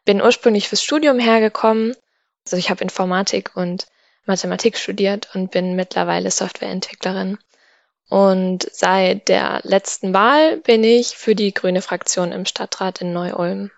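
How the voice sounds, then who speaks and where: A woman's voice: mid-range voice, steady tone, moderate pace, gravelly, no echo, lo-fi sound. A woman in her twenties talking casually as a guest on a discussion panel. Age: 20s. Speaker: panel guest, city councillor. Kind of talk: casual interview talk.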